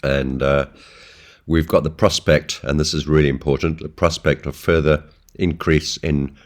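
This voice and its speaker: A man with an extremely deep, low voice that is hoarse from a flu. He talks through a weekly trading update in a relaxed way, with plenty of ums and uhs. It sounds like he is recording a voice-over at a home desk. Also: moderate pace. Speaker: forex trading analyst. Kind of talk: screen-share market update.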